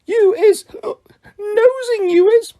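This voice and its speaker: Brief: squeaky, stammering drunk elf girl, tearful, way up high and slow. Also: mellow. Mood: tearful, slurring. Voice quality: squeaky, stammering with many uh's. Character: drunk, weepy female house elf, tiny. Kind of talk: audiobook character voice.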